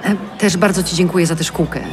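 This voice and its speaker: A woman's voice: lowish voice, steady tone, lightly acted. Hesitant, modest woman played by an actress. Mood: grateful, awkward. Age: middle-aged. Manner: hesitant, halting. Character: unsure, modest, grateful mother in her forties. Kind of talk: naturalistic audio drama acting.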